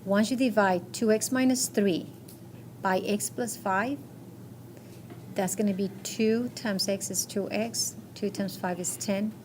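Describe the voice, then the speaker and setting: Lady math teacher walking thru quiz answers step by step, average pitch, bit of noise in the classroom. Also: fairly even intonation, a little slow, a little mellow. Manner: explains step by step, checking with short questions. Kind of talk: classroom lesson going over quiz answers. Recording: noisy classroom recording.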